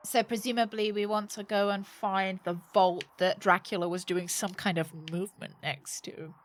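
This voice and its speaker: A woman's voice: medium pitch, fairly even intonation, moderate pace, a little hiss or hum. A woman game streamer giving casual, playful live commentary, thinking aloud and exclaiming as she plays.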